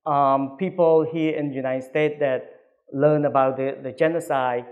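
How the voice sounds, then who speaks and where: A man in his fifties with a Cambodian accent, a native Khmer speaker, giving a public talk as an author in a halting, earnest way. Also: medium pitch, steady tone, narrow, tinny audio. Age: 50s. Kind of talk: public talk about own book.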